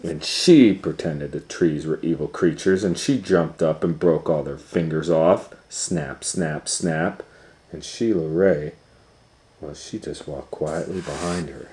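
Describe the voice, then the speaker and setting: A man's voice: extremely low pitch, slow pace, mellow, slight background noise. A man reading a children's picture book aloud as a storytime host, in a dramatic, playful way.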